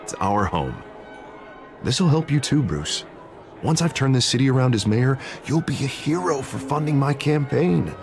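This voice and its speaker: A man acting an upbeat American district attorney on the campaign trail in a story game. He is polished and jovial, his pitch moving freely from speechmaking to banter. Music plays behind him. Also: lively intonation, moderate pace, close to an everyday voice. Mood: coaxing, earnest. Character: glib, upbeat district attorney running for mayor. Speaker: politician campaigning for mayor. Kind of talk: video game drama acting.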